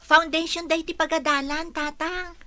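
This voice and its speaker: A woman with an Ilocano accent playing a pouty, excitable young schoolgirl daughter in a radio comedy drama. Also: extremely high pitch, moderate pace. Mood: delighted.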